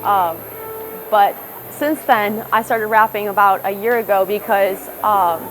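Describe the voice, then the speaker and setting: A woman's voice: mid-range voice, a little slow, soft background music, low-quality recording. Young Midwestern woman, candid interview talk. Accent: Midwestern American. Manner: candid, reflective, self-correcting restarts. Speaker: rapper. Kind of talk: documentary interview. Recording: noticeable background noise.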